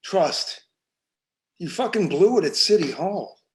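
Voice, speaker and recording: A man's voice: high voice, expressive, slow pace, a little mellow. A man playing a bitter, blunt police detective in his forties in a crime script read. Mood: angry, scolding. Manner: blunt, profane. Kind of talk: screenplay table read, dramatic acting.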